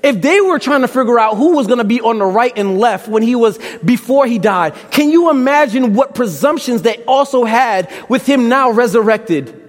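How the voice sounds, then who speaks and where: High-voiced young Brooklyn preacher in a hall. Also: male voice, animated, normal speed. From Brooklyn, New York. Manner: impassioned, rhetorical questions, casual slang. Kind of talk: sermon. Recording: long reverb in a large hall.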